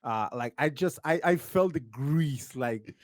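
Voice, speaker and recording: A man's voice: mid-range voice, expressive, measured pace. A man from Uruguay, a podcast guest chatting casually, rambling with plenty of "like" and "uh". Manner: rambling, heavy on "like" and "uh" fillers.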